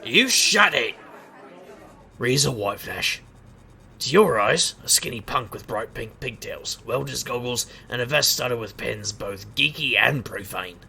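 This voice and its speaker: An actor playing a wry, hard-drinking private eye and street magician in a noir audio drama, gravelly and wisecracking. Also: male voice, mid-range voice, expressive, measured pace, bright, forceful tone, exaggerated voice. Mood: defensive. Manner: wisecracking, sardonic. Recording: crowd murmur behind.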